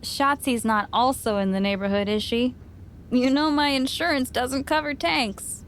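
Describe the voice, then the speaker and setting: A woman's voice: high-pitched, fairly even intonation, normal speed, slight background noise, natural voice. A woman voicing a wry female friend in a game, with teasing, dry sarcasm. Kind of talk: game cutscene dialogue.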